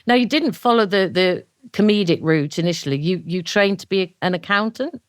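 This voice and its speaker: An older woman speaks as a podcast host. Her voice sits a little low, and the recording is close and dry, with hardly any room sound. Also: moderate pace, clear, resonant tone, no echo. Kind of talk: podcast introduction and conversational interview.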